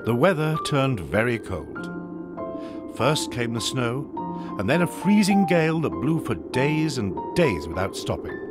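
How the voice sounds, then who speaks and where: An actor playing the storyteller, giving expressive scripted narration of a children's tale. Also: male voice, mid-range voice, a little slow, over music. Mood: expressive storytelling.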